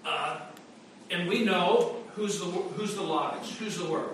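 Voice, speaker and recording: Male pastor, high and bright, chatty sermon with fillers, heard from afar in a ringing church. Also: a little slow, a little hiss or hum. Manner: conversational preaching with frequent restarts and fillers. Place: church sanctuary. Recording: echoey church from a distance.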